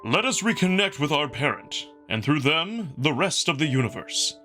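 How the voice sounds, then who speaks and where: A man's voice: on the high side, very animated, normal speed, pushed and bright, soft background music, pitched above the performer's own voice. A man voicing a large male beast noble in a visual novel, earnest and theatrical, with a grand, declaiming manner. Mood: solemn, grand.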